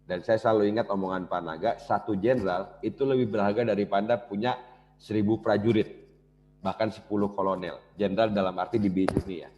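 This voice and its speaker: Low mellow guy, talking to the crowd like a coach, muffled old tape sound. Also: fairly even intonation, a little fast, slight background noise. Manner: casual, addresses audience directly. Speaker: business speaker. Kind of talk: motivational seminar talk. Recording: dull lo-fi cassette recording.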